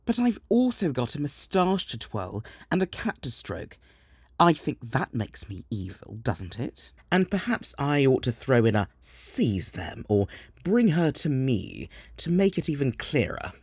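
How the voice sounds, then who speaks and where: Comic demon villain, acted by a man, English accent, pompous, mock-sinister, animated intonation, sketch comedy. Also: medium pitch, unhurried, slightly rough, no echo, exaggerated voice. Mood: defensive, pompous. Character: theatrical self-proclaimed evil demon, comically unmenacing.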